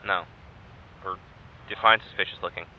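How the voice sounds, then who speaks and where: A man acting a wry, hesitant test subject in an audio drama, speaking haltingly and naturally. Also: low-pitched, steady tone, close to an everyday voice. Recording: dull lo-fi microphone, faint noise.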